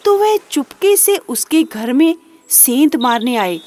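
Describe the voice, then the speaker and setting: A woman's voice: sits high, sing-song, slow, darkish tone, faint music behind. A woman narrating a children's moral story in a simple storytelling manner.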